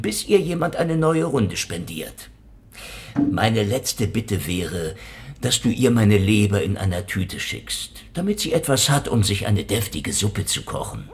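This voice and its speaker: An actor playing a wisecracking, hard-drinking, down-at-heel Soviet private detective with sardonic deadpan delivery in a German radio crime drama. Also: male voice, on the low side, unhurried, pauses often, breathy edge, full character voice. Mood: mocking.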